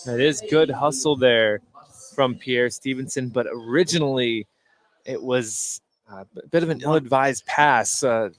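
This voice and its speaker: A man giving unscripted color analysis at a basketball game, halting and repeating words as he thinks aloud. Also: mid-range voice, rough recording. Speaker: color commentator. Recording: faint gym noise behind the voice. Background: indoor gymnasium ambience.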